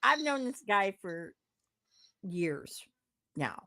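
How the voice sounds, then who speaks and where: A woman with a loud voice telling a casual story, rambling with lots of "like" and acted-out dialogue. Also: medium pitch, big pitch swings, extremely slow, no echo. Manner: rambling, full of "like" and quoted dialogue. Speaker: podcast co-host.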